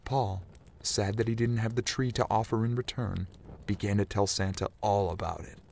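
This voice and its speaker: A man narrating a scripted Christmas story as an animated storyteller. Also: lowish voice, moderate pace, gravelly.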